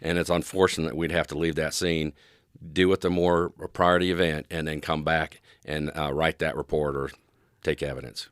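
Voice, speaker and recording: A man with an extremely low voice answers as an expert guest in a conversational interview. He is agreeable and measured, hedging and restarting as he explains. The sound is dry, with almost no room. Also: little pitch movement, clean tone, no room sound.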